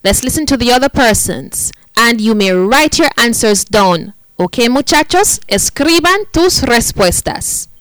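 A woman teaching a Spanish lesson to children, speaking slowly and repeating each point in Spanish and English. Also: a little high, animated, a bit bright, no room sound, rough recording. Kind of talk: bilingual classroom lesson.

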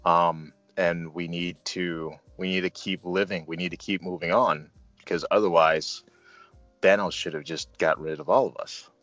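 A man with a very low voice hosts a casual film chat. He talks thoughtfully and haltingly, with a fan's enthusiasm. The sound is dry and a bit dull, with music behind him. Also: steady tone, fairly bright tone, no echo. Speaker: movie review show host.

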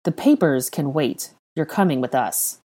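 A woman's voice: low-pitched, animated, brisk, warm tone. A woman voicing a polite, firm young man with a level, stoic manner in an audiobook story.

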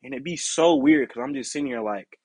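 A young man with a deep, raspy voice hosting his own podcast, talking in a candid, rambling way with lots of "you know" and "like". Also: huge pitch swings, fairly quick, a little mellow, no echo. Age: young adult. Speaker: podcast host. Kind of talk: casual solo podcast monologue.

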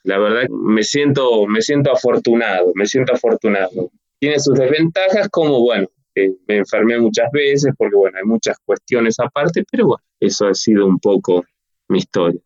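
A man's voice: lowish voice, animated, normal speed, darkish tone, no echo, dull audio. A man in his forties with an Argentine Spanish accent, telling his story as a guest in a casual interview, rambling with restarts and fillers.

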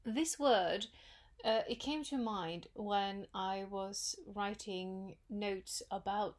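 A woman with a Norwegian accent hosting her own podcast, talking in a thoughtful, unhurried way with many pauses and fillers. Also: mid-range voice, a little mellow. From Norway. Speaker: podcast host. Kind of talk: solo reflective podcast talk.

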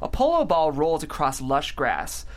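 A narrator reading stage directions at a script table read in an expressive, amused way. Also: mid-range voice, measured pace.

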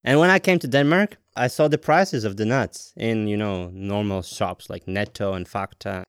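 A man's voice: on the low side, normal speed, clear, resonant tone, no echo, studio quality. A man, interviewing founders in a friendly, chatty way with jokey asides. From Latvia. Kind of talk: casual interview chat.

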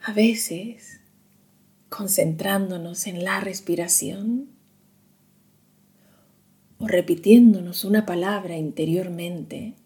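Woman doing guided meditation, super slow w long pauses, mellow relaxed hushed voice, soothing. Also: fairly low pitch.

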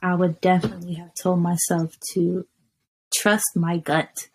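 Low-voiced young woman, reflective. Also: little pitch movement, slightly rough. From Kenya. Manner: reflective, laughs easily, drawn-out words. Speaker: guest singer. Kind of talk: casual interview chat.

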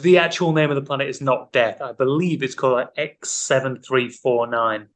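A man's voice: mid-range voice, normal speed. A man hosting a comedy podcast quiz, teasing his co-host in casual banter. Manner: playful, teasing quizmaster.

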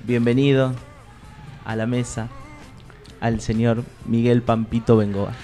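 Casual comedy radio presenter, male, average pitch, light music behind. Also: level tone, measured pace, dark tone. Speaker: host.

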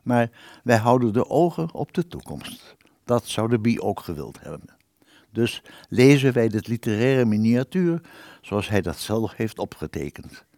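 Animated male radio-play narrator. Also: medium pitch, a little slow, dry recording.

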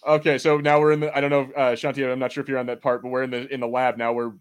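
A man's voice: mid-range voice, little pitch movement, rapid-fire, clean tone. A man co-hosting a casual watch-party film chat, rambling informally and swearing freely. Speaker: podcast co-host.

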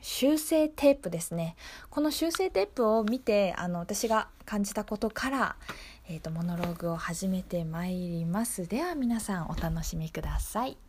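A woman's voice: medium pitch, lively intonation, moderate pace, darkish tone, slight background noise. A woman presenting a radio segment, explaining it casually and without a script to her listeners. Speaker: radio show presenter and performer. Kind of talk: casual unscripted segment introduction.

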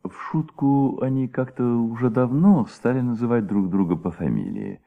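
An actor narrating a detective radio play in a measured, suspenseful, dramatic way on an old recording. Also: male voice, mid-range voice, big pitch swings, creaky, narrow, tinny audio. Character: thoughtful male storyteller of a detective tale.

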